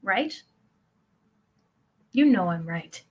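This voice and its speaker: A woman's voice: mid-range voice, animated, extremely slow, darkish tone, husky, heavily acted. A woman playing a pious, self-congratulating mother who prays aloud in an earnest, rambling, confiding way in a play reading. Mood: chatty, confiding.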